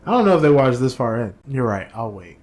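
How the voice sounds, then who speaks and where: Mid-pitched man bantering on a podcast. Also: big pitch swings, fast talker, a little mellow. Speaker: podcast co-host. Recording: faint ambient room noise.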